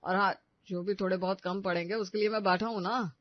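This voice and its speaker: Man as middle-aged cartoon dad, affectionate and teasing, high-pitched voice, dull dry recording. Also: steady tone, a little fast, no echo, lightly acted. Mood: caring. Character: middle-aged Indian father and husband, caring, teasing. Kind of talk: Hindi moral story cartoon acting.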